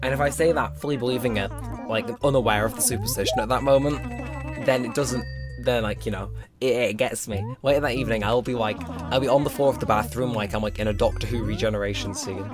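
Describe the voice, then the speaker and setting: A young man with a slightly hoarse, strained throat, rambling casually with lots of fillers while commenting on a game. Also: mid-range voice, fast talker. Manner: rambling, filler-heavy, self-mocking. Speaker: let's play host. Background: game music.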